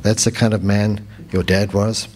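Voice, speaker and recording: A South African man reading literary memoir aloud as its author, with deliberate, dramatic phrasing and lingering pauses. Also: lowish voice, level tone, a little mellow.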